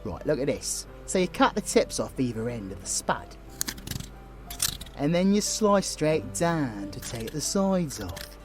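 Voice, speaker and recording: A man's voice: on the high side, very animated, measured pace, over music. A British man playing a flirtatious, protective super villain in an audio roleplay, teasing and comforting a loved one with affectionate slang. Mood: playful, cheeky.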